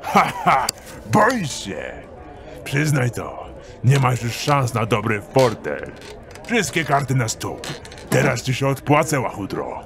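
A man's voice: mid-range voice, wildly swooping pitch, slow, a crowd in the background, exaggerated voice. A man playing a fat, balding, hot-headed gambler in an audio drama, blustering and taunting. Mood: gloating. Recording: noisy tavern background.

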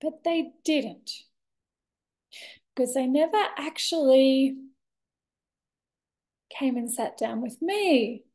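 A woman in her thirties giving a candid, reflective personal monologue to camera, expressive, with deliberate pauses and dramatic emphasis. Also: high voice. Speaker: vlogger sharing a personal story. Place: bright indoor room with large windows. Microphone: laptop webcam at desk distance.

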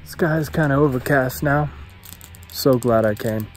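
A man's voice: medium pitch, unhurried, soft background music. A middle-aged man vlogging outdoors at a forest camp by a mountain lake, talking easygoing into a phone held at arm's length. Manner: easygoing, growing excited in the storm. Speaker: solo backpacking vlogger. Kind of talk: outdoor vlog to camera.

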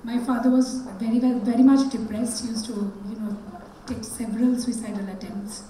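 A middle-aged woman talks candidly with a husky voice that still sits high. Her handheld mic picks up a very echoey room with a faint ambient hum behind her. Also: fairly even intonation, a little fast, dark tone. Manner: candid, personal. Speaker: music teacher. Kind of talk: panel testimony.

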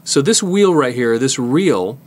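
A middle-aged man giving a casual hands-on tutorial, explaining in a halting way as he works with his hands. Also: mid-range voice, animated. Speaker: presenter demonstrating cassette disassembly.

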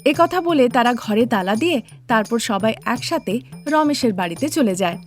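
High-pitched woman narrating a story. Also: lively intonation, brisk, faint music behind.

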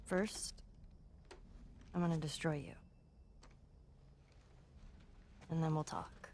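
A woman playing a guarded, hurt, sharp-tongued American teenage girl in a video game cutscene, blunt and emotionally raw. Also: low voice, fairly even intonation, measured pace, slight background noise. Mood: playful.

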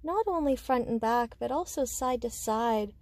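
A woman guiding a meditation with slow, soothing instruction, speaking gently and unhurriedly in short phrases with pauses. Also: on the high side, expressive, dry recording. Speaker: meditation guide.

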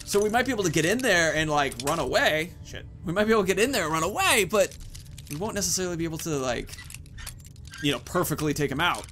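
A man's voice: fairly high pitch, expressive, fast talker, a bit bright, soft background music. A man in his thirties giving casual, informal, rambling commentary as he hosts a video game playthrough. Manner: rambling, informal, swears mildly. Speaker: gaming video host.

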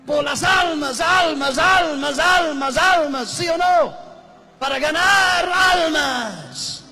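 Shouting evangelist in a booming hall. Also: male voice, expressive, takes it slowly, a crowd in the background. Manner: shouting, calls for audience responses. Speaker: guest evangelist. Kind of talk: shouted stage sermon to a crowd. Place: large hall stage, handheld mic. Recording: hall reverb with music.

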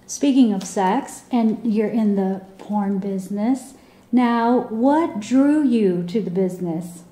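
A woman's voice: medium pitch, slow pace, dark tone. A woman interviewer asking questions in a casual, conversational way. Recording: echoey room, off camera.

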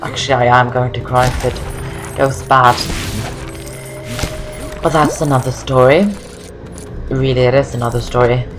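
Very low-voiced young woman gaming. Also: flat delivery, a little slow, pauses often. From Norway. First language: Norwegian. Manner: chatty, rambling, repeats phrases. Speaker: gaming video host. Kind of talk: casual game walkthrough commentary. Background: game music.

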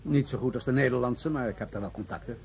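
An actor playing a composed, authoritative drug buyer, clipped and businesslike, in a Dutch radio play. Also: male voice, medium pitch, fast, creaky, darkish tone, lightly acted. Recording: noisy radio drama recording.

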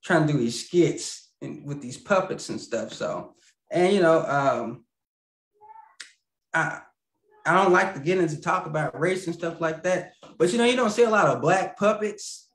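A man in his thirties chatting as a podcast guest over a video call, rambling and laughing, often trailing off quietly. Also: a little high, lively intonation, normal speed, echoey room. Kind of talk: casual interview chat over video call. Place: indoor home room with lamp. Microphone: video call, webcam.

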